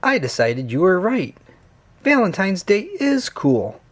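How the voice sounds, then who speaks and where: A man's voice: high voice, wildly swooping pitch, measured pace, voice pushed up, full character voice. A man voicing an earnest, easily worried young male cat from a children's storybook, expressively.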